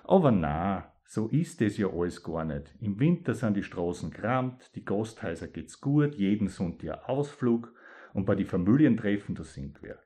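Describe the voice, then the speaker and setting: Deep-voiced East Styrian poet, soft-toned, reads dialect verse and reflects with pauses. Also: male voice, very animated. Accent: East Styrian Austrian German. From East Styria, Austria. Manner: thoughtful, with pauses and hesitations. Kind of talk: dialect poetry reading and talk about it.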